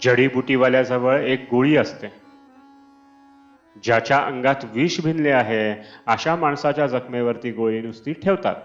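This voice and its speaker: A man reading a scripted spiritual discourse in a measured, deliberate manner. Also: mid-range voice. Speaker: reader of devotional discourses. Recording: dull lo-fi, Indian classical music behind. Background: Indian classical instrumental music.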